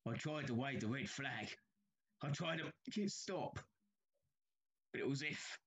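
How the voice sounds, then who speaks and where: A man's voice: medium pitch, animated, a little slow, a bit bright. An actor playing a haunted, troubled railway signalman in a period audio drama, telling his tale with grave urgency. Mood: distressed.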